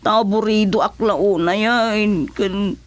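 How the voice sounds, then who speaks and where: Slow, plaintive sickly Ilocano older woman , acted out. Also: mid-range voice, steady tone, natural voice. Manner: drawn-out, plaintive. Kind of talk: Ilocano radio drama acting.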